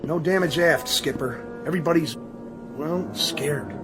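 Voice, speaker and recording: A man's voice: a little high, measured pace, close to an everyday voice. A man acting in a radio drama as a dutiful, uneasy junior member of an airline crew. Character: dutiful, uneasy male junior flight crewman. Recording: music behind the voice.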